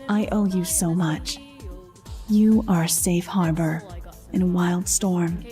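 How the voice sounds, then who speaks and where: A woman playing a proud, wounded, distrustful companion in a fantasy game, speaking in dramatic, deliberate, formal phrases. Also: lowish voice, steady tone, dark tone, faint music behind. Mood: intense, yearning. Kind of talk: fantasy video game character acting.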